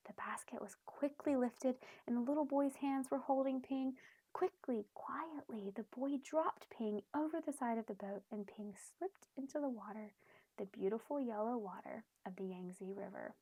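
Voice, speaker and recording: A woman reading a storybook aloud expressively for young children, pausing to explain things in simple terms. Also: fairly high pitch, mellow, husky, no room sound, low-quality recording. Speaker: children's read-aloud host.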